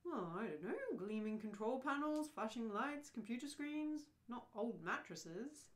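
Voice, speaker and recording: Woman acting a bewildered exasperated man, high voice, swooping intonation, dry sarcasm, radio play, roomy echo. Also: very animated, measured pace. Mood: disappointed, confused. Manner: incredulous, dryly sarcastic. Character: bewildered, exasperated, sarcastic ordinary Englishman swept into space. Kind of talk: radio play read-through. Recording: roomy, echoing room sound.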